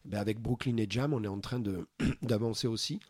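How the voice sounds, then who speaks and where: A man from France in a casual interview, talking earnestly and often pausing to find his words. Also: lowish voice, darkish tone, husky, no room sound. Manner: earnest, searching for words with many pauses. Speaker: podcast founder, interview guest.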